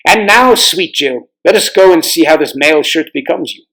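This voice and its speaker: A man reads aloud as a noble storybook king, pitched a little high for a man. He is grave and commanding yet kindly, and his phrasing is old-fashioned and courtly. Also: expressive, normal speed, pushed and bright, rough recording. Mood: confident, commanding. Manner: archaic, formal speech.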